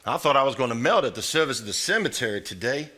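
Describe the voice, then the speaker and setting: Very bright, blunt, nagging man. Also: medium pitch, lively intonation, quick pace. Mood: grumbling, folksy. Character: blunt, nagging, indignant brother in a 1950s family. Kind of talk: live stage acting.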